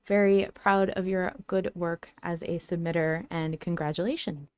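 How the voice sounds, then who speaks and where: A woman's voice: on the low side, steady tone, moderate pace, a little mellow, clear, resonant tone, no room sound. Effusive woman podcast host, dry close sound. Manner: effusive, gushing praise. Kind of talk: casual fan podcast commentary.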